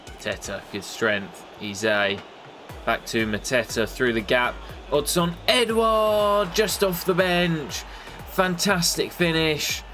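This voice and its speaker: A young man with a nasal voice from a cold, commentating his football game in a casual play-by-play that jumps up excitedly at big moments. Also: slow pace, faint music behind. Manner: excitable, bursts up in pitch at goals and misses. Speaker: gaming YouTuber commentating his career mode.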